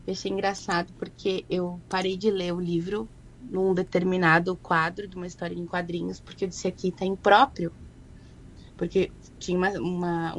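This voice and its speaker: A woman, explaining literacy as a guest in a relaxed, reflective way. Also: fairly low pitch, slight background noise. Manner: reflective, thoughtful. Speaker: guest educator. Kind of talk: conversational explanation.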